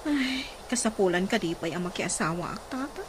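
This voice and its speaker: A woman's voice: mid-range voice, lively intonation, a little fast, lightly acted. A woman playing an emotional unmarried aunt with an Ilocano accent in a radio comedy drama. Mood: subdued, self-deprecating. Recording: clear background noise, animal and bird ambience.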